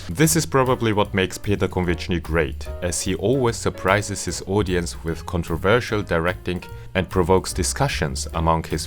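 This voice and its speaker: A low-voiced man from Austria tells the story of his own work as an opera director. He speaks earnestly and appreciatively, in a calm vlog narration with faint music underneath.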